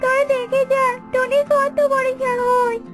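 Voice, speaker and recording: Shrill, piercing cartoon villain bird. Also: female voice, flat delivery, unhurried. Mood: outraged. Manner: shrill, dramatic complaining. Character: shrill scheming female bird, loud self-righteous accuser. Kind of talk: children's cartoon voice acting. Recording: music behind the voice.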